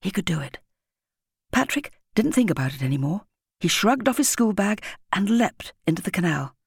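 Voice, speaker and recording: A woman narrating a children's audiobook in an expressive, dramatic storytelling manner with varied emphasis. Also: medium pitch, moderate pace, vocal fry, breathy edge, no echo, clean studio sound.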